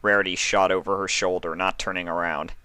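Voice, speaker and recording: Guy narrating a story, deep voice but crisp and bright, dry close sound. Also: little pitch movement, a little fast, no room sound. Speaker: narrator of fan fiction.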